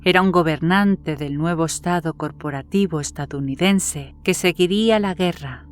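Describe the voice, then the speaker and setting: Woman narrator, lowish pitch, scripted horror storytelling, literary reading, faint music bed. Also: normal speed. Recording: music behind the voice.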